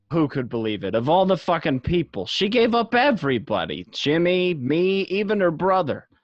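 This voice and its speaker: A man's voice: fairly high pitch, very animated, normal speed, dry recording, dull audio, voice pushed up. A man playing a cynical, streetwise gangster who tells his own crime story in a radio drama. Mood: bitter disbelief. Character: cynical streetwise young mobster narrating his own arrest. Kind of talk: first-person crime drama narration. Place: home, remote online recording.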